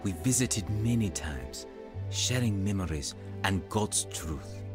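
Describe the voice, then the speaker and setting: An actor playing an earnest middle-aged evangelist and devoted son in a radio drama, with measured delivery. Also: male voice, low-pitched, lively intonation, over music. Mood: reflective. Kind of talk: radio drama narration and dialogue.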